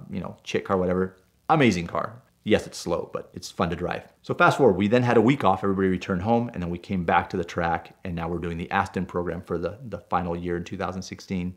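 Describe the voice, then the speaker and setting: A man, a native Spanish speaker, working as a driving instructor and telling a story in a casual, animated way. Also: sits low.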